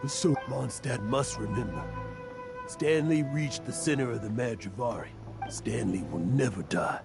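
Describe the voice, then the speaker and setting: A man's voice: medium pitch, normal speed, vocal fry, music in the background, close to an everyday voice. A man voicing a weary, middle-aged adventurer burdened by guilt, in an anime video game. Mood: grieving, remorseful. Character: weary older male adventurer, guilt-ridden boastful impostor.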